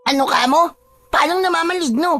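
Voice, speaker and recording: A woman's voice: very high-pitched, brisk, sharp tone, no echo, full character voice. A woman voicing an earnest, secretive young boy in a dramatized story. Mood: alarmed, warning. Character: young boy, secretive, earnest friend who warns of curses.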